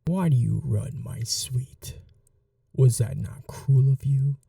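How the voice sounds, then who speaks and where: A woman playing a cruel, possessive vampire mistress in an ASMR roleplay, speaking slowly in breathy half-whispers with menacing tenderness. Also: very deep voice, warm tone, dry recording, far from the mic, full character voice. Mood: taunting, tender. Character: cruel, possessive vampire mistress, silkily taunting her betrothed captive. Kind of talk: ASMR audio roleplay, dramatic acting.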